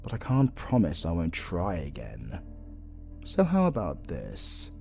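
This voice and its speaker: A man's voice: low-pitched, huge pitch swings, creaky, a little mellow, faint music behind, full character voice. A British man playing a flirtatious, sardonic supervillain in a romantic comedy audio roleplay, drawling and teasing theatrically. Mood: sly, bargaining. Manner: slow, drawling, teasing, dramatic.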